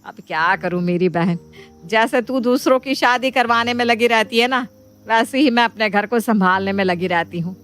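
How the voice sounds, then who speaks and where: A woman voicing an eager, trusting middle-aged mother in a dramatised moral story, chatty and expressive. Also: fairly high pitch, moderate pace, smooth, soft background music, natural voice. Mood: confiding.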